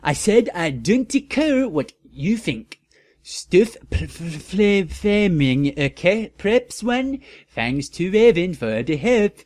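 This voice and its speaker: A man's voice: high-pitched, very animated, slow pace, a little mellow, pitched above the performer's own voice, exaggerated voice. A man voicing a defensive, brash teenage girl author reading her petulant notes with stumbling, garbled words.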